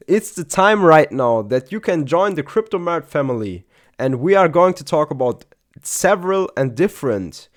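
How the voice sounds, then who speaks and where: A man with a German accent, German his first language, giving casual chart analysis for his channel and repeating "guys" and "very, very" often. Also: mid-range voice. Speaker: crypto YouTuber.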